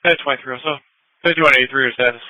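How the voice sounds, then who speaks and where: A man's voice: mid-range voice, very fast, no echo, lo-fi sound. A man working as a police dispatcher, giving procedural calls and addresses over the radio. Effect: police radio transmission. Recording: dry sharp dispatch radio audio.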